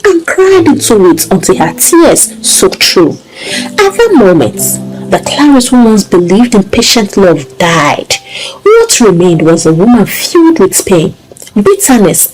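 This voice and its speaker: A woman reads a dramatic story as a storyteller. Her delivery is expressive and emotive, with a mid-range pitch that moves in lively swings. Soft music plays behind her. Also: poor audio. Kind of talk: dramatic scripted story narration.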